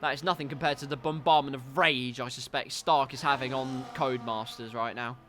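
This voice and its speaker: A man with a stuffy, congested nose commentating a racing league, with wry asides that turn loud and excited when cars crash. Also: mid-range voice, brisk, a little hiss or hum.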